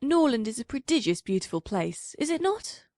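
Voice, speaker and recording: A woman's voice: on the high side, big pitch swings, moderate pace, darkish tone, dry recording, studio quality, voice pushed up. A woman reading an audiobook, voicing a gushing, chatty young woman with an effusive manner. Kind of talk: audiobook character voice.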